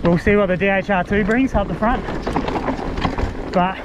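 A man's voice: high voice, normal speed. A man giving casual, laid-back, fragmented gear commentary while riding a mountain bike. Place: outdoors on a bushland trail, riding a bike. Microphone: action camera worn while riding. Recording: muffled action-camera audio, loud wind and trail noise.

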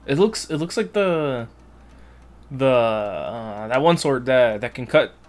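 A man's voice: mid-range voice, animated, slow pace. A man doing casual, joking gameplay commentary with plenty of slang and swearing, as a streamer. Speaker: gaming streamer.

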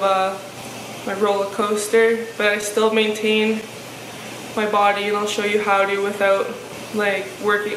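A young woman in her twenties with a deep voice, answering viewer questions in a frank, chatty way, full of "like" fillers, seated on a living-room sofa. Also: a bit bright. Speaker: fitness vlogger. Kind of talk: casual Q&A vlog. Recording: echoey room with faint hiss.

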